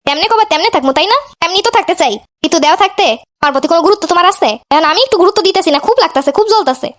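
Cartoon wife woman actor: hurt, defiant, sarcastic, Bangladeshi Bengali accent, high-pitched with breakneck delivery. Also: expressive, very fast, fairly bright tone. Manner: scolding, sarcastic. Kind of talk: amateur cartoon dialogue.

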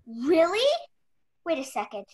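A young woman playing an eager, flustered rookie detective in a radio play, halting and unsure but insistent. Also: high-pitched, big pitch swings. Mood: hesitant. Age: young adult. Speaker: actor in a youth theatre radio play.

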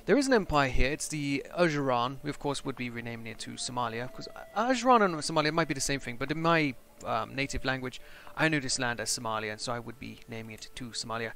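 A young man chatting and joking as he plays through a strategy game for his channel, thinking out loud. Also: mid-range voice, expressive, fast talker, gravelly, few pauses. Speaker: gaming channel host. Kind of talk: casual strategy game let's play commentary.

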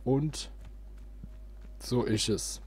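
A man's voice: medium pitch, drawn-out words, a little mellow. A man hosting a let's play, giving casual, playful commentary on a video game. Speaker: let's player, host. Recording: game noise behind the voice. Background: video game ambience.

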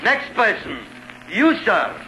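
An actor playing a fake mind-reading swami who fires off brash punchlines in a 1950s radio comedy. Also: male voice, sits high, big pitch swings, slow pace, full character voice. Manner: punchline delivery. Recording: very noisy old radio broadcast.

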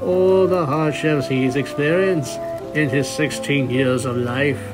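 A man's voice: fairly high pitch, steady tone, takes it slowly, loud music behind the voice. A man narrating a cartoon fan fiction romance, reading it out like a story. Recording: faint noise behind the voice.

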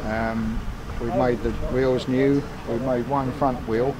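A man's voice: mid-range voice, level tone, takes it slowly, a crowd in the background, low-quality recording. An older man casually explaining his restoration work, recorded outdoors in an open yard. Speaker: steam engine restorer. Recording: loud outdoor yard and vehicle noise.

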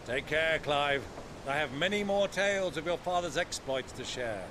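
An actor playing a jovial, grandiloquent middle-aged warrior uncle in a video game cutscene. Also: male voice, fairly high pitch, expressive, normal speed, noisy background, natural voice. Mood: earnest, teasing. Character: hearty, theatrical gray-bearded warrior uncle. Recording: clear sea and ship ambience behind.

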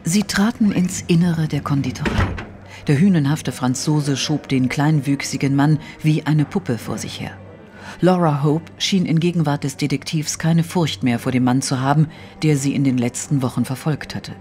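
Higher-pitched male audio drama narrator. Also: moderate pace, darkish tone, faint music behind.

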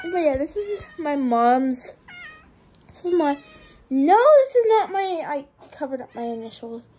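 A young woman talks through a barn tour at a relaxed, slow pace, her very high voice swooping up when she coos at her cats. She giggles often and sounds casual. It is a phone recording in a barn room with faint noise behind. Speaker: vlogger. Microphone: phone held in hand.

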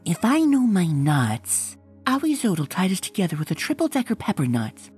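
A woman voicing a shy, timid young female pony who speaks softly and hesitantly. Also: on the low side, wildly swooping pitch, normal speed, faint music behind, heavily acted. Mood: gentle. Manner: shy, hesitant, soft and breathy.

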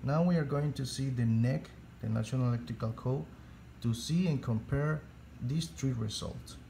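A man with a Spanish accent, Spanish his first language, teaching an electrical calculation slowly step by step, halting and restarting phrases as he searches for English words. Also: mid-range voice, creaky, warm tone, slight background noise. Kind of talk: slow step-by-step tutorial.

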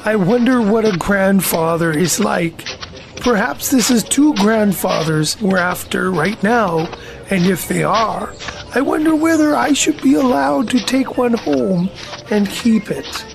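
A woman voicing a gentle, earnest, slow-witted male bear in a children's audio drama, musing aloud in a ponderous, solemn way. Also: mid-range voice, gravelly, music in the background, low-quality recording.

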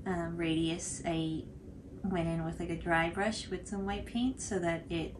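A woman's voice: lowish voice, steady tone, unhurried. A young woman explaining casually as a tutorial co-host, with hesitant phrasing peppered with "like". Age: young adult. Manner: hesitant, filler-heavy phrasing with frequent "like". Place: small furnished room indoors, on a couch. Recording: clearly noisy home recording.

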